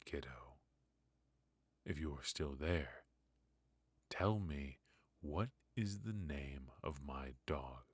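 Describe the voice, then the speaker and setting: A man playing a very deep-voiced, ruthless mafia boss. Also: expressive, husky, no room sound, full character voice. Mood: cool, threatening. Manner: slow, deliberate, mock-polite menace. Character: ruthless, brilliant mafia boss, smoothly menacing and mocking. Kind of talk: noir ASMR roleplay acting.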